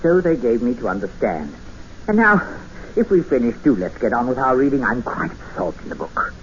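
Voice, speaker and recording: An actor playing a courteous but menacing older settler, mannered and politely insistent, in 1950s radio drama style. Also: male voice, medium pitch, very animated, lightly acted. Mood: politely controlling. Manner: mannered, politely insistent, with musing hums and pauses. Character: courteous, menacing older male jungle settler holding a captive. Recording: muffled, noisy old radio recording.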